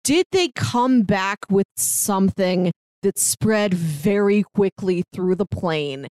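A woman's voice: mid-range voice, lively intonation, slow, darkish tone, no echo. Woman chatting casually in dry, close sound. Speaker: podcast co-host discussing an audio drama. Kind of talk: casual chat, recapping a story.

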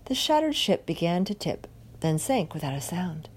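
A woman reading a rhyming picture book aloud in an expressive, dramatic way. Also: lowish voice, normal speed, slightly rough. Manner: dramatic, animated delivery. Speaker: storybook reader.

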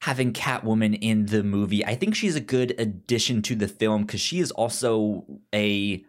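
A podcast co-host chatting casually about films and comics, halting and often stammering over words. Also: medium pitch. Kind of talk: casual film and comic discussion.

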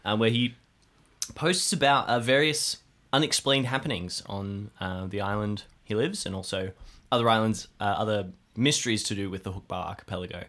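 A man's voice: fairly low pitch, steady tone, normal speed, a bit bright. A man chatting casually and playfully as a cast member of an improvised drama podcast. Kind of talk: casual chat introducing a character.

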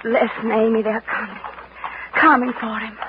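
An actress playing a proud, scheming young belle in a 1940s radio drama. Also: female voice, a little high, flat delivery, moderate pace, natural voice. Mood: urgent, imploring. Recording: muffled, noisy 1940s radio recording.

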